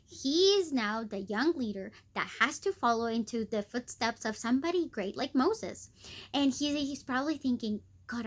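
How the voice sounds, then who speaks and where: Squeaky-voiced woman giving a devotional talk. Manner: earnest, encouraging, conversational with many "you know" fillers. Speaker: host of a weekly devotional video. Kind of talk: devotional teaching.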